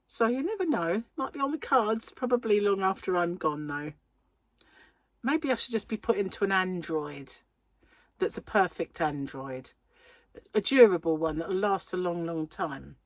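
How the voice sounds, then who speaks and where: A woman's voice: on the low side, lively intonation, normal speed. A middle-aged woman with a British accent talks casually to her viewers, poking fun at herself and laughing often. Her voice swings up and down a lot, and a slight wheeze sits under her breathing. The sound is close and dry, with no room echo. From United Kingdom. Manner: chatty, self-deprecating, laughs often. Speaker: crochet channel host. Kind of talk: casual chat to camera.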